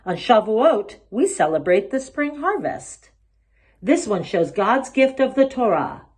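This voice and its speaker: A woman voicing an affectionate elderly grandmother in a storybook, speaking gently as she teaches and encourages. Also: on the high side, very animated, a little slow, voice pushed up. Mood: explaining. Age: older.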